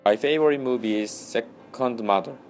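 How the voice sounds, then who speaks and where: A young man answers interview questions in simple, halting English. His voice is mid-pitched, and faint music plays behind him. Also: a little mellow. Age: 20s. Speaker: student interviewee.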